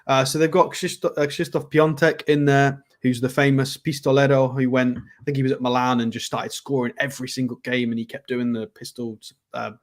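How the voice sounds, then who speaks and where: A man's voice: mid-range voice, little pitch movement, a little fast, hardly any pauses. A man co-hosting a casual live football chat show, blunt and opinionated, with frequent hesitant ums. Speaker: football pundit co-host.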